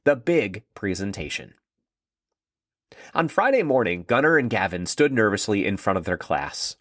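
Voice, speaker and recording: Animated male children's storyteller, close dry sound. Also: on the low side, moderate pace, dry recording. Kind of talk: scripted narration of a children's story.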